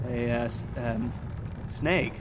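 An actor playing a posh, self-important professor in an audio drama, halting and easily flustered. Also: male voice, medium pitch, noisy background, natural voice. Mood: hesitant. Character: posh, self-important male professor, anxious archaeological explorer.

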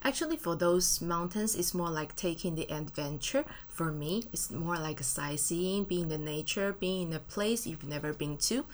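Woman, low voice, English, relaxed guest in studio. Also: normal speed, few pauses. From Taiwan. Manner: friendly, casual, uses fillers and non-native grammar. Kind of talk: studio interview answers. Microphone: studio mic.

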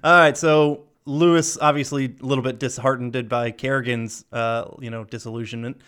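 A man's voice: medium pitch, little pitch movement, normal speed, fairly bright tone, smooth. A man co-hosting a podcast in casual chat, rambling through a film's scenes with playful quoted lines, laughing and swearing now and then. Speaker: podcast co-host.

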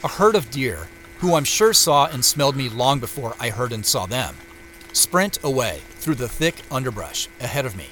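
A man with an American accent playing a reflective, wry solitary traveler who narrates an audio drama in the first person, with measured storytelling and muttered casual asides. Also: mid-range voice, very animated, fairly bright tone, slight background noise, low-quality recording. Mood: quietly tense. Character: male solitary traveler, reflective, wryly talking to himself. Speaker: narrator and lead character. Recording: music behind the voice.

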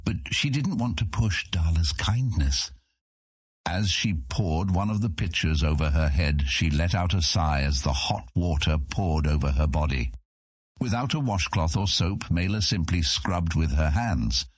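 A man's voice: deep voice, slightly rough, no room sound. A man reading scripted fantasy narration as an audiobook narrator.

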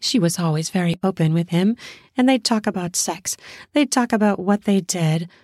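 A woman narrating a scripted erotic story in an intimate, deliberate manner with drawn-out phrasing. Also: on the low side, a little mellow, no echo, studio quality.

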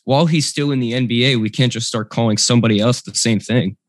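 A man's voice: medium pitch, quick pace, dry recording, studio quality. An eighteen-year-old man co-hosting a podcast, giving firm opinions in casual chat. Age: late teens. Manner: opinionated, firm stance-taking. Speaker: podcast co-host.

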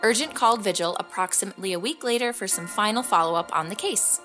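Woman playing an earnest podcast host, mid-pitched, measured. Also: bright, forceful tone, soft background music, lightly acted. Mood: reflective. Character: earnest female investigative podcast host recounting a hero's cases. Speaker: host, narrator. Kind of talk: scripted documentary podcast narration.

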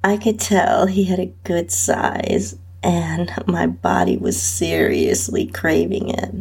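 Husky, low-pitched woman narrating erotica. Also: wildly swooping pitch, slow, darkish tone, whispered. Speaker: podcast host and narrator. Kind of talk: first-person erotic audio story narration.

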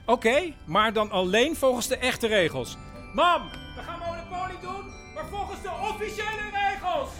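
An actor playing an earnest, musing grown son in an audio drama, friendly, inquisitive and slightly pedantic. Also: male voice, very high-pitched, normal speed, music in the background. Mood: keen. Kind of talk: naturalistic Dutch audio drama dialogue.

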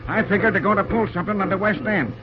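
A man's voice: sits high, racing, over music, lightly acted. An actor playing a wary, folksy American railroad fireman, a loyal drifter with slangy, conspiratorial talk, in an old-time radio drama. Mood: confiding, suspicious. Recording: dull, lo-fi old radio broadcast recording.

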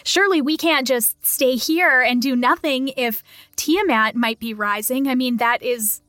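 A woman plays an earnest young adventurer in a tabletop game. The voice is high and idealistic, recorded close and dry. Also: measured pace, bright, forceful tone, dry recording, soft background music. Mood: determined, pleading.

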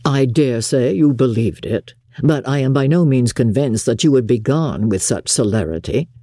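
A woman voicing a proud, reserved, coolly exacting gentleman in an audiobook, formal and measured. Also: extremely low pitch, animated, slightly rough, dry recording, clean studio sound, full character voice. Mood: stern, critical. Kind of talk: audiobook character acting.

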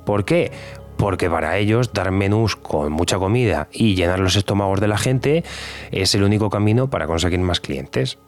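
Actor as an earnest, lowish-voiced male police officer. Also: normal speed, faint music behind, natural voice. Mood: convinced, sombre. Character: earnest male Civil Guard officer chasing cold case. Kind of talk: interview testimony in a podcast drama.

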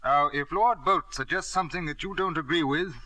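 An actor playing a dry, protective middle-aged family doctor, wry and stubborn, in a 1950s radio drama. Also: male voice, fairly high pitch, a little fast, sharp tone, low-quality recording.

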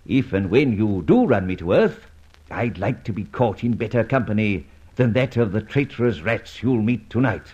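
An actor playing a debonair, mocking gentleman adventurer, suave and theatrically polite, in an old-time radio serial. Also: lowish voice, lively intonation, normal speed, breathy edge. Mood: teasing, polite. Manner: suave, teasing, theatrically polite, hesitant drawl. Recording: muffled vintage radio serial recording.

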